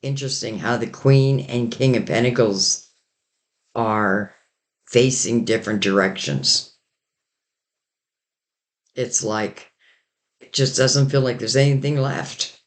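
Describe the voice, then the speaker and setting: A man giving a rambling, casual tarot reading while losing his voice and sounding hoarse. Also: mid-range voice, takes it slowly, pauses often. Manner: rambling, loose and intuitive, many "like" and "you know". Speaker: tarot reader.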